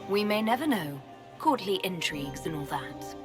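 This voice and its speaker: An actress playing a poised, courteous woman hero and leader in a fantasy game, measured and diplomatic. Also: lowish voice, lively intonation, music in the background, natural voice. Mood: polite, composed. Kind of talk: video game fantasy acting.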